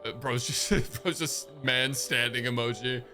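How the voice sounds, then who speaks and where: A man's voice: mid-range voice, steady tone, measured pace, pushed and bright. A man giving casual, slangy live reactions as he streams a game, off the cuff. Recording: faint game music behind.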